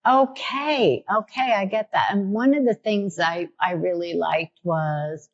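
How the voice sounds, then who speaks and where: A woman with a New York accent hosts a relaxed podcast chat, speaking in a fairly low voice. She thinks out loud, restarting her sentences, and sounds eager. The recording is dull and lo-fi. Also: unhurried. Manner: thinks aloud with many restarts, enthusiastic. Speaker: podcast host. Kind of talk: casual conversational interview.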